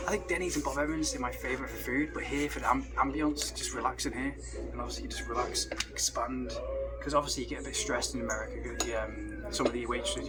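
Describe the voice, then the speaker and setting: Rambling, opinionated British man, rapid delivery, loud music over him. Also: medium pitch, low-quality recording. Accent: British English. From Britain. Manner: rambling, opinionated ranting with restarts. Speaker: travel vlogger. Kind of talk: casual vlog chat while eating.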